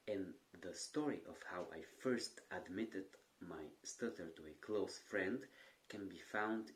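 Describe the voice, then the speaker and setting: Slow, low-voiced young man giving earnest advice. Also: poor audio. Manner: calm, measured, earnest, occasional brief stutters. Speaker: stuttering coach. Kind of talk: talking-head advice vlog.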